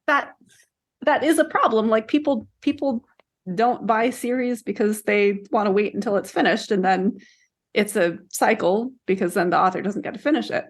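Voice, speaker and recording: A woman with a fairly high voice co-hosts a podcast. She talks in a casual, conversational style, as in a relaxed discussion about books. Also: moderate pace. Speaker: podcast co-host. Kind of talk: casual book discussion chat.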